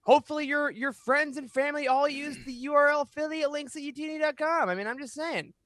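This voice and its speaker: Bright, high-pitched male podcast host. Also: quick pace, no echo. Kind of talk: upbeat podcast hosting chat. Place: small home studio room. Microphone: boom-arm microphone up close.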